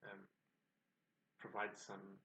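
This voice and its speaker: A man with a South African accent, introducing himself on his own channel in a measured, reflective way. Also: low-pitched, monotone, pushed and bright, recorded from a distance, rough recording. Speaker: channel host. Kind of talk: personal introduction to camera.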